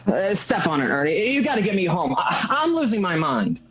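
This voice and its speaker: A man acts a flustered family man in a radio drama, his pitch swinging widely. He stammers and hesitates, bewildered and desperate, with faint noise and clicks on the recording. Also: very animated, a little fast. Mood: agitated. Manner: stammering, hesitant, full of uhs.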